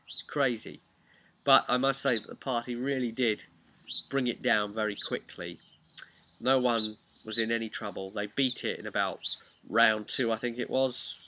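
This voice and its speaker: A man's voice: fairly low pitch, sharp tone. A man chattily recapping a tabletop game as its game master, slipping into casual storytelling, with some background noise.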